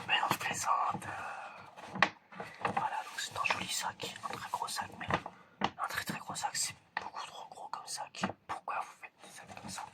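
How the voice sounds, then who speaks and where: Someone whispering hesitantly and softly to the listener, in an ASMR roleplay as an examiner. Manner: hesitant, soft-spoken, addressing the listener directly. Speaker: ASMR creator playing an examiner.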